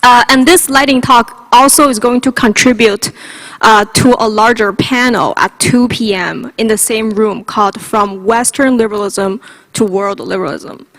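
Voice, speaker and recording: A woman's voice: fairly high pitch, normal speed, a bit bright, no echo, rough recording. A woman from China, a native Chinese speaker with a Chinese accent, giving a prepared lightning talk as a conference speaker.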